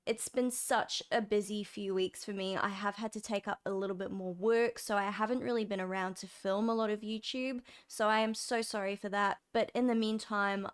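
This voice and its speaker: Young woman, croaky voice, smooth clear tone, bubbly vlog chat. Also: medium pitch. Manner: bubbly, enthusiastic. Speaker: music reaction channel host. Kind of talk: casual chat to camera.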